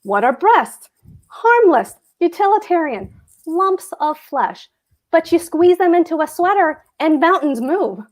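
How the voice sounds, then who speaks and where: High voice, fussy pompous clerk husband played by a woman, hectoring, fretful, stage comedy table read. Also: lively intonation, measured pace. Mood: indignant.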